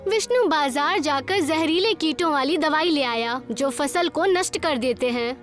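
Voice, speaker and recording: A woman's voice: high-pitched, a little slow, a bit bright, faint music behind. A woman narrator reading a scripted children's moral story.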